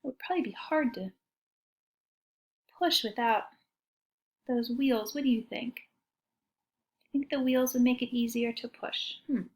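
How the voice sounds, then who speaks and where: A woman teacher reading a picture book aloud to children in an expressive way, pausing to ask them questions. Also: fairly high pitch. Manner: expressive, child-directed, often asking questions.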